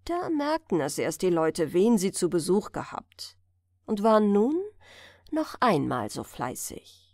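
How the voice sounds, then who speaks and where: A woman narrating a scripted fairy tale as a bedtime audiobook, expressive and carefully phrased. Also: lowish voice, unhurried, no room sound, clean studio sound.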